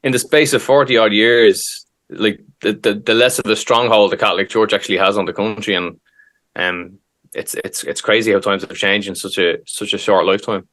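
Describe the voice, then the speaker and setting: A man's voice: fairly low pitch, normal speed. Easygoing Irish man steering a podcast chat. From Ireland. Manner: relaxed, easygoing, moderating. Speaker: podcast co-host. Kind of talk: casual chat.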